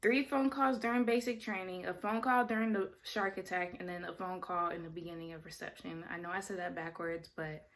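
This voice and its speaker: A woman's voice: lowish voice, steady tone, fairly quick, few pauses, rough recording. A young adult woman vlogging casually, explaining things in a chatty way and correcting herself as she goes. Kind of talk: casual talking-head vlog.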